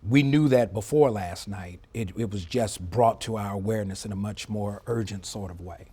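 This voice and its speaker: A middle-aged man speaking as an expert interview guest, explaining in a measured, emphatic way and often checking in with a short tag question. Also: lowish voice, dark tone, breathy edge. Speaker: former air traffic controller, interview guest. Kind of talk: live TV news interview, explanatory commentary.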